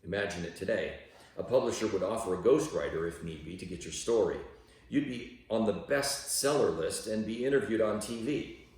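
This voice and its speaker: A low, gravelly male voice reads a devotional in measured, deliberate phrases, leaning on key words. The sound is very reverberant and a little distant from the microphone. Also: lively intonation, a little mellow. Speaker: reader of a devotional audiobook. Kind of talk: read-aloud devotional narration. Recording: very echoey room, distant from microphone.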